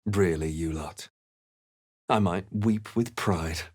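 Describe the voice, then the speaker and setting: A man playing a composed, wry adventurer hero in a video game, telling events in a measured, literary way. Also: deep voice, a little mellow, dry recording, studio quality. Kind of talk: scripted video game narration and battle lines.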